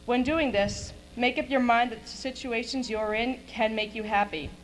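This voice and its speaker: A young woman giving a prepared graduation speech as a student, in a measured, declamatory way. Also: on the high side, steady tone, rough recording. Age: young adult. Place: high school graduation ceremony, at a podium. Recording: clear hum and noise of event video.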